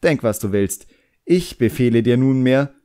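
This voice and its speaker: A man's voice: medium pitch, expressive, moderate pace, warm tone, smooth, pitched above the performer's own voice, natural voice. A man voicing a proud young boy magician in an audiobook, stiff, pompous and commanding. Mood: angry commanding.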